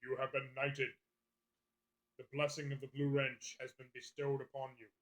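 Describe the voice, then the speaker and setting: A man putting on a deep, mock-solemn herald voice to bestow a ceremonial honor. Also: completely flat delivery, normal speed, fairly bright tone, lightly acted, poor audio.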